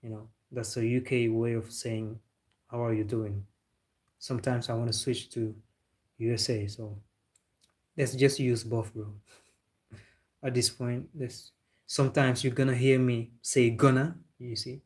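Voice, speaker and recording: A man's voice: medium pitch, little pitch movement, unhurried, pauses often. A young man hosting a football live stream, chatting casually with viewers in a loose, laid-back way. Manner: loose and chatty, calls viewers bro, talks with a laugh.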